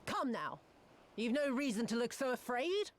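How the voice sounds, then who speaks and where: A woman's voice: fairly high pitch, sing-song, normal speed, a little hiss or hum. An actress playing a cruel, haughty female imperial viceroy who drawls with mocking, theatrical disdain. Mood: scornful.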